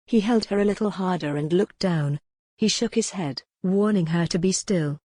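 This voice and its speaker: A woman narrates a historical romance audiobook in a fairly low register. Her delivery is scripted dramatic storytelling, recorded dry with hardly any room sound. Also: moderate pace, a little mellow, no room sound.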